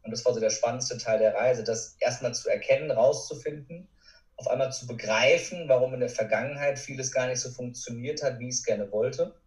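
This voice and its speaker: A man's voice: medium pitch, a little fast, a little mellow. A man giving earnest, reflective answers as a podcast guest, with emphatic repetition. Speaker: podcast guest, courage expert. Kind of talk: reflective conversational interview answers. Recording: roomy, distant from the mic.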